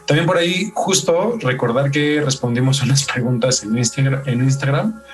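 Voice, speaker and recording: A man's voice: mid-range voice, normal speed, distant mic, faint music behind. A man with a Mexican accent hosting a podcast and narrating true-crime stories from a script. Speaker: podcast host.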